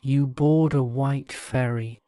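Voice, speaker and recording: Slow, smooth man narrating a sleep story. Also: mid-range voice, steady tone, mellow, no echo. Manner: soothing, measured.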